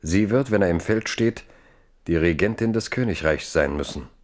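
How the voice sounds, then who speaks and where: Low-voiced solemn male narrator. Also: lively intonation, moderate pace. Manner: formal, solemn, chronicle-like. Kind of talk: scripted literary narration in a radio play.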